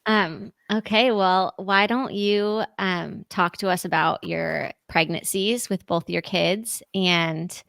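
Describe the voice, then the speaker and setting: An upbeat woman hosts a podcast and greets her guest with real enthusiasm. Her voice sits low and carries a gravelly fry. The sound is dry and close to the mic. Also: fairly even intonation, slow pace, few pauses, a bit bright, dry recording. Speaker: podcast host, doula. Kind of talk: podcast interview.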